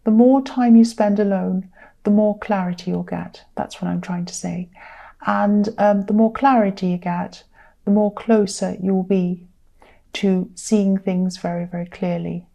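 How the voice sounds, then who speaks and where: A woman giving a casual tarot reading, her voice croaky and tired, earnestly repeating phrases for emphasis. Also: medium pitch, fairly even intonation, unhurried, warm tone, lo-fi sound. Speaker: tarot reader.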